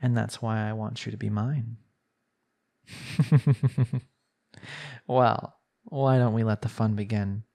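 A man performing an ASMR roleplay as a smug, coaxing young villain hypnotist, speaking in a soothing, deliberate way. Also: lowish voice, steady tone, vocal fry, darkish tone. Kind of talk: ASMR roleplay acting, slow hypnotic monologue.

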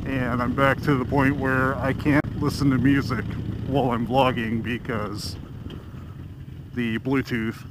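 A man's voice: mid-range voice, flat delivery, measured pace. A man riding a motorcycle and talking frankly into a helmet headset mic, grumbling and swearing casually. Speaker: motorcycle vlogger. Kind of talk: casual vlog talk while riding. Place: on a motorcycle on city streets, outdoors. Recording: loud wind and engine noise. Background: motorcycle engine and traffic.

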